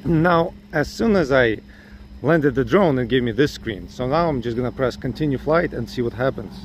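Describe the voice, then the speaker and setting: A man's voice: mid-range voice, expressive, normal speed, a little hiss or hum. A man with a Ukrainian accent, losing his voice, chatting casually in a vlog from outdoors in a parking lot, thinking aloud with lots of fillers. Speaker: drone hobbyist vlogger.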